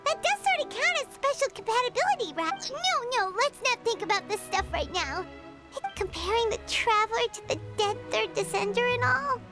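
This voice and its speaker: A woman voicing a small, childlike, excitable fairy companion in an anime-style video game, with a squeaky, chatty delivery. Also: normal speed. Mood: flustered, chatty. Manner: excitable, exclamatory, refers to self by name. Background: music.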